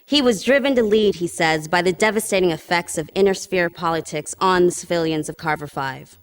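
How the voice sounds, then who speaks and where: Game newscast role: authoritative anchor, woman actor, lower register, formal, emphatic reading of scripted news. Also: brisk, smooth, close to an everyday voice. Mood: serious, urgent.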